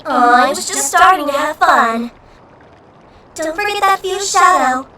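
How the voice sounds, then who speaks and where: A woman's voice: sits high, expressive, measured pace, pushed and bright, heavily acted. A woman voicing an impish, teasing female shadow creature in a fan-made video game dub, with a mocking, sardonic manner. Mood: playful, flippant. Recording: roomy, distant mic under music.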